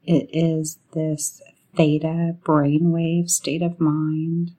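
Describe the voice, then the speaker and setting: A woman leading a guided meditation in a soothing, unhurried manner, pausing often between short phrases. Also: deep voice, little pitch movement, mellow.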